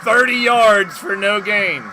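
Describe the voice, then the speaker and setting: Male livestream sports commentator, high register and slow delivery, informal and chatty play-by-play, noisy recording. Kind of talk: live play-by-play mixed with casual live chat shout-outs.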